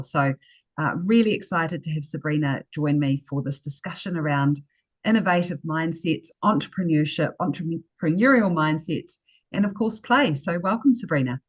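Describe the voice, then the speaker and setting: A woman's voice: lowish voice, no echo. A woman hosting an interview, enthusiastic, with fillers and tag questions like "right?". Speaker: host. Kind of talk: casual interview.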